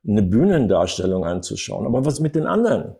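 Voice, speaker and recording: Older man with a low, mellow voice. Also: brisk, creaky. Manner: animated storyteller, acts out quotes, frequent tag words. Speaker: acting coach and interview guest. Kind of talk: anecdotal interview talk.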